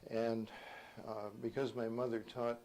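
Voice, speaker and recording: A man in his seventies from Minnesota, recalling his life in an unhurried, reflective way for an oral history. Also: medium pitch, little pitch movement, darkish tone, poor audio. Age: 70s. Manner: unhurried, reflective storytelling with small restarts.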